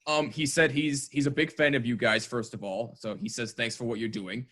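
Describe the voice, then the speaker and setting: A man in his twenties co-hosting a podcast, reacting with excitement and reading out a listener question. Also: mid-range voice, fairly quick. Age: 20s. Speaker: podcast co-host. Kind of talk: casual chat.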